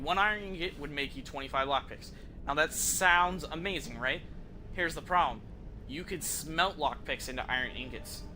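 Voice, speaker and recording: A man with a very bright, forceful voice that sits a little high gives casual gameplay commentary. He rambles conversationally and swears freely, with faint noise behind him. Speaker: video game streamer.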